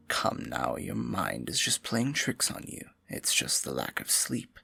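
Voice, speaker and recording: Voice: medium pitch, vocal fry, a bit bright, breathy edge, exaggerated voice. An actor voicing a scheming evil royal advisor with magic, speaking in a silky, mocking, condescending way for an ASMR fantasy roleplay. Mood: smug feigned innocence. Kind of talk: ASMR fantasy roleplay, intimate theatrical monologue.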